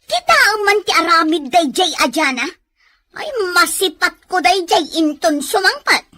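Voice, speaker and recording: Family drama role: nagging older sister played by a woman. Very high, animated, sharp and complaining. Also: a little slow, exaggerated voice. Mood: scolding. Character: bossy, scolding older sister. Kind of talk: Ilocano family drama acting.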